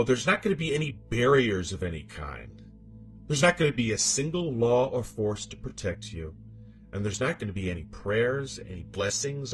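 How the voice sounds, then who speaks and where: A scorned, demonic first wife , acted by a man, delivers a horror monologue of revenge. The delivery is theatrical and highly animated in pitch, moving between hushed menace and taunting outrage. Music plays behind the voice. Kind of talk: dramatic horror story monologue.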